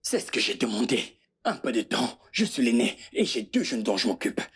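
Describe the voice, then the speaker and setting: A woman's voice: rumbling low, sing-song, normal speed, slightly rough, heavily acted. A woman dubbing a defensive young eldest brother. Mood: pleading. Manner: pleading, insistent repetitions. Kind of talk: French dub of a film.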